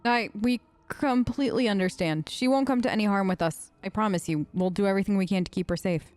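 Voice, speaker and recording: A woman's voice: mid-range voice, quick pace, darkish tone. A woman gamer improvising her character's dialogue in a casual, friendly and slightly hesitant way. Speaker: gamer role-playing her character in a let's play.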